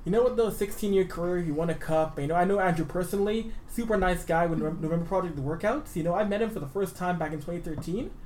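A man with a high-pitched voice for a man, chatting easily and joking at his own expense as a guest on a podcast. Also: fast, hardly any pauses, darkish tone, a little hiss or hum. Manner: easygoing, self-deprecating. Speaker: guest sports blogger. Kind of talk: casual podcast chat.

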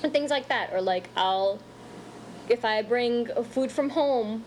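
Woman, reflective, hesitant, casual podcast chat, faint hiss and rumble. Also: slow pace. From United States. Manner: reflective, hesitant, restarts often. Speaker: podcast co-host. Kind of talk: casual conversation.

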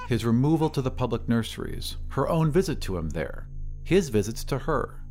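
Guy narrating an audio drama story, very expressive pitch, deliberate delivery, soft music behind. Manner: deliberate, literary.

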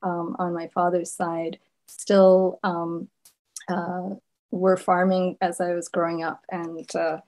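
A woman poet from Zimbabwe whose first language was Shona, reading her poems and talking slowly and thoughtfully about them, with many pauses and ums. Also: lowish voice, flat delivery, a little mellow. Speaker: poet and professor giving a reading.

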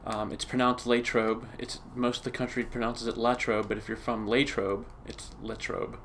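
A man with a western Pennsylvania accent, speaking plainly and with a touch of wryness. His pitch stays flat and even throughout. There is a faint hiss under his voice. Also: moderate pace. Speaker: science teacher. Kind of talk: casual personal account.

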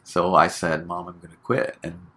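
A man from Hong Kong, a guest chatting casually in an interview, relaxed and full of fillers, laughing often. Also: low voice, moderate pace.

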